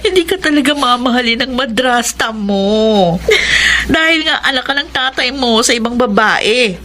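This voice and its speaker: An actress playing a caring, outspoken young friend in a Filipino radio drama, sympathetic and insistent. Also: female voice, fairly high pitch, animated, moderate pace, sharp tone, a little hiss or hum. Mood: urging, indignant.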